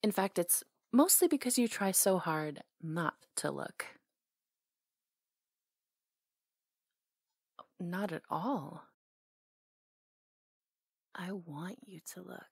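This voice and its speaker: Female performer as flirty, affectionate salon owner, ASMR romance roleplay. Soft, husky-breathy, slightly low, unhurried, teasing and intimate. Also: expressive, dry recording, clean studio sound, heavily acted. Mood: playful, affectionate. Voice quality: soft, breathy, sometimes whispery. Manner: teasing, hesitant pauses, intimate. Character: flirty, tender salon owner confessing her crush.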